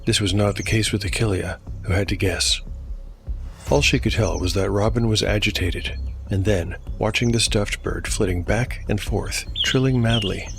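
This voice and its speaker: Male narrator, low voice, dramatic measured storytelling, dark fantasy audio drama, music underneath. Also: gravelly. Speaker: narrator and host.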